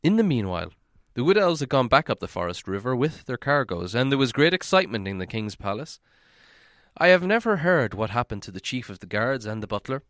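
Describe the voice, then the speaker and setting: A man reading a fantasy story aloud in expressive scripted narration. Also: mid-range voice, fairly quick, no room sound, clean studio sound. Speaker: audiobook reader.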